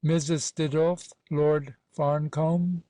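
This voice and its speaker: An actor playing an officious organiser directing guests in short phrases, in a period satirical audio play. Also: male voice, on the high side, level tone, slow pace, dark tone. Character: officious party organiser seating supper guests.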